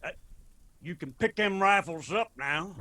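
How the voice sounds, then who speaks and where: A commanding Appalachian mountain patriarch in a radio drama. His voice is high and bright, and he lays down terms with calm, wry pride. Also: unhurried, exaggerated voice.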